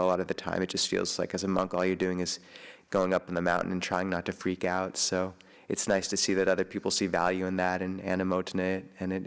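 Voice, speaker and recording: A man, a Buddhist monk, giving an informal Dharma talk in a soft, hesitant way with many pauses and fillers. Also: sits low, vocal fry. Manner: chants Pali homage at the start. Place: monastery meditation hall.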